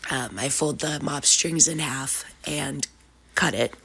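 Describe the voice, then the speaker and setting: A woman's voice: deep voice, takes it slowly, vocal fry, a bit bright. A woman with a cold, sounding sniffly, casually explaining a DIY craft step by step as host of her channel. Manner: casual, chatty, with frequent "uh" and "um". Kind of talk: DIY craft tutorial narration.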